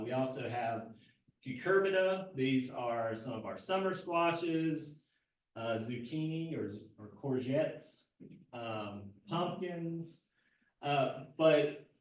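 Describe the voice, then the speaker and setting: Soft-spoken man lecturing in an echoey room. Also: mid-range voice. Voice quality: soft-spoken, not projecting. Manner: explanatory, with frequent fillers and self-corrections. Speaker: agricultural extension agent teaching a gardening class. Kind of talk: live classroom lecture. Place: indoor class room. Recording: very echoey room, mic far from speaker.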